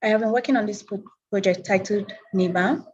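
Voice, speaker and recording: A young Nigerian woman whose first language is Hausa, giving a measured talk about her work at an online symposium over a video call. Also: medium pitch, darkish tone, dull audio, a little hiss or hum. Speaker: presenting artist.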